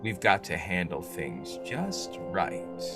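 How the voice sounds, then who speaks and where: A man playing a cool masked vigilante in old-time radio style, curt, measured and menacing. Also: sits low, sing-song, over loud music, heavily acted. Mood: brisk, secretive. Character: cool, commanding masked male vigilante hero.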